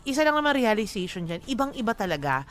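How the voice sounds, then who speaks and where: Animated, high-voiced Filipino woman giving advice. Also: quick pace, soft background music. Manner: emphatic, punctuates points with rhetorical questions. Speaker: radio advice show host. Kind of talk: conversational relationship advice, Tagalog-English code-switching.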